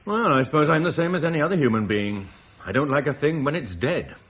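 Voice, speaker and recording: An actor playing a blunt, forceful military commander from Cornwall who scorns Rome, in a classic radio drama. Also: male voice, a little high, lively intonation, quick pace, slightly rough. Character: blunt British war leader, scornful of Rome.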